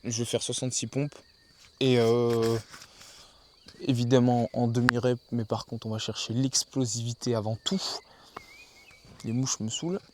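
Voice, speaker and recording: A man's voice: medium pitch, level tone, measured pace, long pauses, darkish tone, slight background noise. A man keeping a casual spoken diary outdoors in the countryside, thinking aloud and often restarting his sentences. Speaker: video journal keeper.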